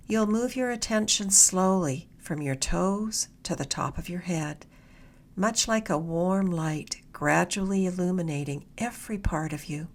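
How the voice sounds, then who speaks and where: A woman leading a guided meditation in a gentle, soothing, unhurried way, her soft voice turning slightly breathy at the ends of phrases. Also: on the low side. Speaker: meditation guide, mindfulness mentor.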